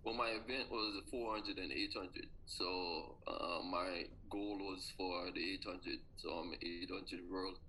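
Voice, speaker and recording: A man's voice: sits low, fairly even intonation, measured pace, hardly any pauses, pushed and bright, slight background noise, low-quality recording. A man in his forties with a Jamaican accent, a track coach and former athlete, telling earnest personal stories in an interview. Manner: earnest, reflective storytelling.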